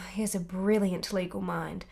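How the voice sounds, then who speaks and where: A woman's voice: on the low side, steady tone, measured pace, vocal fry, mellow, breathy edge, heavily acted. Passionate young married woman played by an actress. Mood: reflective. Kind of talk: radio drama acting.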